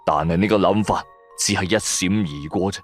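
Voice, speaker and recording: A man's voice: low voice, soft background music. A man narrating a first-person horror radio drama in a suspenseful, emphatic style. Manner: suspenseful, emphatic, drawn-out stresses.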